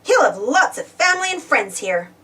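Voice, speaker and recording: A woman reading a storybook aloud to children in an expressive, exclamatory way, putting questions to her young listeners. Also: sits high, moderate pace. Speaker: storybook reader. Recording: distant from the mic.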